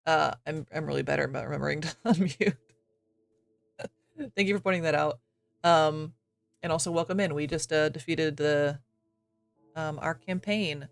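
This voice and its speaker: Slightly raspy man chatting on a stream. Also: fairly high pitch, moderate pace, pauses often, faint music behind. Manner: chatty and self-deprecating, many fillers and laughs. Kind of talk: casual live-stream chat while gaming.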